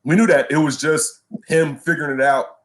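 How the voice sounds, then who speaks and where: A man co-hosting a casual sports talk show, ranting in an animated way and repeating words when he gets worked up. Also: a little high, normal speed. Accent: Chicago. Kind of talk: casual radio show rant.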